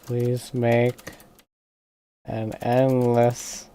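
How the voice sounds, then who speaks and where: A game streamer chatting casually on a livestream, thinking aloud in a halting way while typing at a computer. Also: mid-range voice, completely flat delivery, warm tone, clean tone. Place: indoors at a computer. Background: music, keyboard typing.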